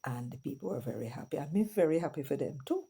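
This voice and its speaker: A woman's voice: sits low, expressive, fast talker, dark tone, husky. A middle-aged Jamaican woman hosting her channel, talking casually to viewers and switching between patois and English with chatty asides. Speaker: vlogger host. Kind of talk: casual commentary monologue.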